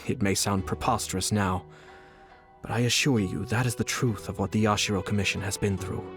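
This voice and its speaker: A composed young nobleman from a game, voiced by a man, speaks low and unhurried. His courtesy stays smooth even as he veils a threat. He sounds like someone used to holding power. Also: soft background music, close to an everyday voice. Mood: reflective. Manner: smooth, measured, politely threatening. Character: poised young male clan commissioner, coolly menacing. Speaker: game character. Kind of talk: game voice acting.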